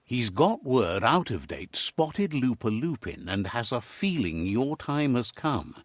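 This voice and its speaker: A man narrating a video game as a calm storyteller who relays what the creatures say. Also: mid-range voice, measured pace, no echo. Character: grown male storybook narrator, calm and wise.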